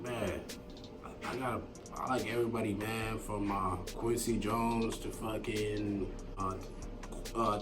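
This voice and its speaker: A low-pitched man, picked up a little away from the mic over clear music. He talks in a loose, laid-back, rambling way, full of slang and swearing, as a producer being interviewed. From born in Kenya to Somali parents, moved to America at eleven. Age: 20s. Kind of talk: casual, slangy interview chat. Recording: distant mic with music behind.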